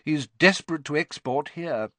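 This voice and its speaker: A man's voice: fairly high pitch, moderate pace, a bit bright, no room sound, pitched above the performer's own voice, lightly acted. A man voicing an impatient, rule-bound elderly wizard bureaucrat, clipped, dry and formal, in a story reading.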